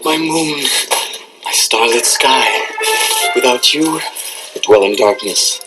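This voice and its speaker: Man playing a roguish fantasy swordsman, loud music behind. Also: mid-range voice, slow, close to an everyday voice, poor audio. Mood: lovesick. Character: roguish swordsman, lovesick one moment, defiant the next. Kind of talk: 1980s fantasy film dialogue. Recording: film audio under loud music.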